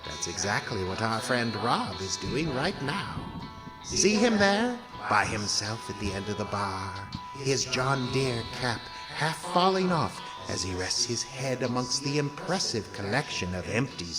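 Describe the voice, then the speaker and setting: Wry, animated storybook-style horror narrator. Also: male voice, medium pitch, a little slow, over music, poor audio. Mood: wry, inviting. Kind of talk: scripted audio drama narration.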